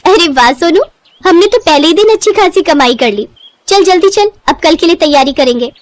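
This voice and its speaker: A woman voicing a poor young village mother, tender but weary, in an expressive cartoon-story manner. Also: extremely high pitch, normal speed, no echo, voice pushed up. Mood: friendly, upbeat. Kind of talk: Hindi moral-story cartoon dialogue.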